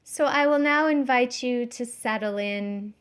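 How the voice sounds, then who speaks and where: A woman giving guided yoga nidra instruction in a soothing, measured, gently instructive way. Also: fairly high pitch, clean tone.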